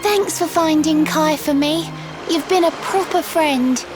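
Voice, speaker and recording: Lovelorn mermaid in a game, high sing-song rhyming, really slow n dramatic, music behind. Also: female voice. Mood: grateful, resigned. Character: lovelorn, wistful young mermaid speaking in rhyming verse. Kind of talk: theatrical video game voice acting in rhyme. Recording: music under the voice.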